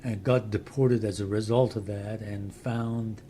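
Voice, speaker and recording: An older man with a Persian accent talks in a slow, unhurried way. His voice sits fairly low, and he gives measured explanations with pauses between phrases. A faint hum of room ambience runs behind him. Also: steady tone, warm tone. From Iran. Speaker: channel host and translator. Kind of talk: slow explanatory talk to camera.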